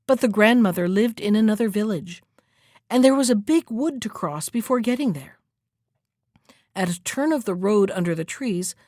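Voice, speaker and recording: A woman storyteller narrating a folktale in an expressive, theatrical way. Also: medium pitch, no echo, clean studio sound.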